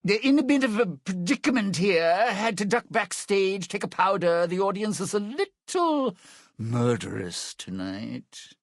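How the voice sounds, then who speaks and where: Cynical lounge entertainer, video game survivor, smug menace, showbiz sarcasm, hugely varied intonation, close dry recording. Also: male voice, high-pitched, sing-song, measured pace, no room sound, heavily acted. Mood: wry urgency. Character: slick, cynical male lounge singer turned scheming survivor. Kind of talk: theatrical video game character acting.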